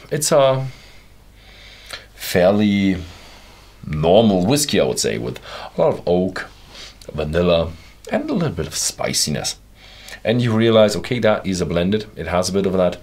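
Low-voiced man rambling through a whisky review. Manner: relaxed, rambling, many fillers and restarts. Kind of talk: casual tasting review. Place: indoor studio with whisky shelves, seated in armchair.

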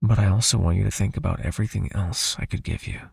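Husky, very low vampire captor played by a man. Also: fairly even intonation, no room sound, heavily acted. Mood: coaxing, possessive. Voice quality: husky, breathy, low, often near a whisper. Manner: slow, coaxing, gently commanding. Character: possessive, soothing male vampire captor hypnotizing his knight. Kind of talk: intimate ASMR hypnosis roleplay.

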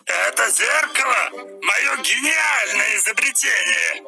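An actor voicing a gleeful evil male troll who shouts boastfully about his mirror in a children's audio fairy tale. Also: mid-range voice, expressive, takes it slowly, exaggerated voice. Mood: gloating. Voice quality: gravelly, shouting. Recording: with music behind.

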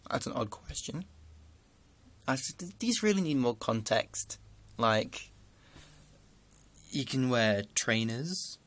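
A man's voice: mid-range voice, lively intonation, takes it slowly, long pauses, fairly bright tone. A young man with a northern English accent, chatting casually through a list of questions into a close webcam in a small room, rambling with dry asides. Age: young adult. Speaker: vlogger.

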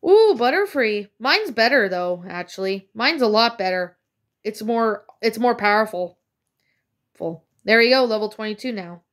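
A woman's voice: a little high, animated, unhurried, fairly bright tone. A woman casually commenting on her own gameplay, chatty and rambling with many fillers, slightly congested from a cold. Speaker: let's play gamer.

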